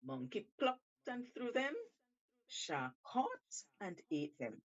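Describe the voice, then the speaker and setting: A woman storyteller reading a tale aloud with deliberate, theatrical emphasis. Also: on the high side, big pitch swings, highs cut off. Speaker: storyteller, show host. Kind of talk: expressive scripted storytelling.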